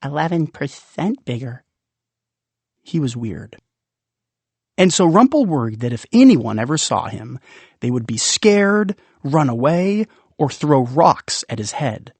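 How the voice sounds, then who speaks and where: Animated man reading a children's storybook. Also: medium pitch, gravelly, breathy edge, dry recording. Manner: playful, animated, with dramatic pauses. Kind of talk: expressive scripted storybook narration.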